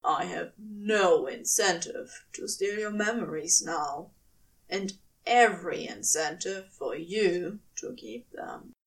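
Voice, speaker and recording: Woman voicing a slow, yearning male demon. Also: fairly low pitch, lively intonation, creaky, distant mic, pitched below the performer's own voice, full character voice, rough recording. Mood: earnest, resigned. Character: weary, yearning, submissive male demon lover. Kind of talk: dramatic audio fiction reading.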